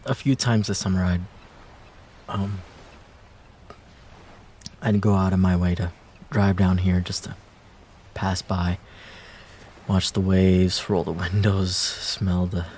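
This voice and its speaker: A man acting a wistful young ex-boyfriend in an intimate roleplay audio drama, speaking casually and hesitantly, with pauses and trailing phrases. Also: sits low, little pitch movement, darkish tone, a little hiss or hum.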